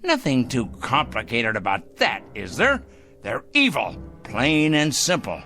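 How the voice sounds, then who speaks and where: A gleeful, deranged crank, wildly animated. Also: male voice, mid-range voice, a little slow, soft background music, exaggerated voice. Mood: scornful, self-righteous. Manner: ranting, self-righteous, muttering to himself and cooing to pet bird. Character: gleeful deranged crank bent on judging evil people. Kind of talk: theatrical audio drama acting.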